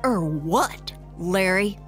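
A woman's voice: mid-range voice, animated, extremely slow, fairly bright tone, faint music behind. An actress playing a stubborn, commanding small-town policewoman in an audio drama, bossy and insistent.

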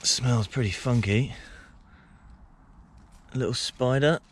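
A young man foraging in woodland, quietly muttering amazed remarks into a camera he carries. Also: mid-range voice, takes it slowly, slight background noise. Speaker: forager vlogger. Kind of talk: casual walk-and-talk narration. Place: outdoors in woodland. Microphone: action camera worn or handheld.

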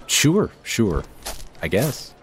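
An actor plays a male companion in a fantasy audio drama, easygoing and teasing. His speech is slow and hesitant, with a hugely animated pitch, over faint background noise. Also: poor audio. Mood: sheepish.

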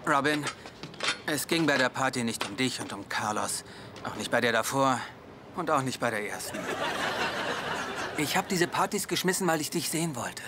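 Sitcom dub: male actor as a hesitant, lovestruck young man, mid-pitched, over clear background noise. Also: expressive, normal speed, pauses often, lightly acted. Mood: earnest. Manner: earnest, self-mocking, hesitant. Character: hopeful awkward young man in love. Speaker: dubbed sitcom lead.

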